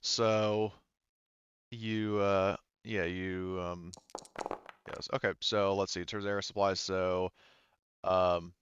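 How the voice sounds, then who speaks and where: Man, low smooth voice, game master, halting casual explanations, dry slightly dull audio. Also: flat delivery, noisy background. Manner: halting, rambling, informal with mild swearing. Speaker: game master of an online tabletop roleplaying game.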